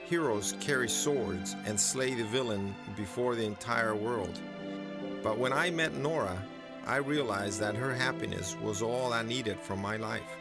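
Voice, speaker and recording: A man playing a kindly middle-aged blacksmith mentor in an animated drama, gentle, earnest and gravelly. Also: medium pitch, a little slow, natural voice. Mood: earnest, tender. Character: kindly older male blacksmith, fatherly mentor. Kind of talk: dramatic animated series acting. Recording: voice over clear background music.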